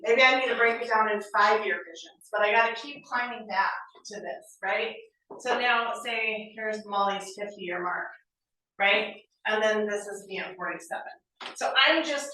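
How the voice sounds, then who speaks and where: Name: none. Sex: woman. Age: 40s. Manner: upbeat, bright, chatty. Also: on the high side. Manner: lively, conversational, keeps checking in with "right?". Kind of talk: motivational training talk. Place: office meeting room. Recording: very echoey room, mic far off, faint noise.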